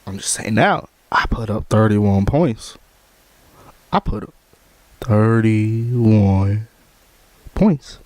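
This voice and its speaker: A man's voice: on the low side, very slow, long pauses, a little mellow, no room sound. A man giving casual, slangy gameplay commentary, repeating himself for emphasis. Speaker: gamer.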